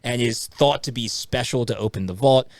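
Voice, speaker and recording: A man's voice: medium pitch, normal speed, no echo. Dry close-miked man, casual podcast banter. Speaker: podcast host. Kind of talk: casual banter about films and games.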